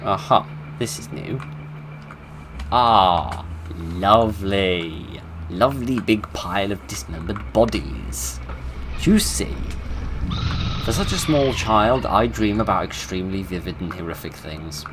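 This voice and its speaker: A man's voice: low-pitched, lively intonation, takes it slowly, background noise. A British man giving casual commentary on a game and reading its story aloud, with dry, tongue-in-cheek humour. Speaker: gamer narrating a horror game playthrough.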